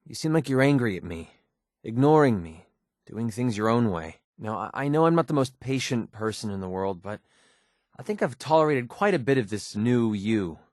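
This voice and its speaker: Man acting a young mercenary, cynical, wounded, blunt, medium-pitched male voice, game dialogue, dry studio sound. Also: sing-song, normal speed, a little mellow, dry recording, natural voice. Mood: hurt, measured. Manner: blunt, cynical, earnest when opening up.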